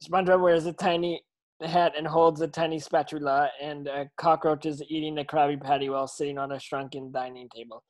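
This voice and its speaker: A man's voice: fairly high pitch, flat delivery, fairly quick, poor audio. A man reading stage directions as the narrator of a table reading, occasionally stumbling over the script.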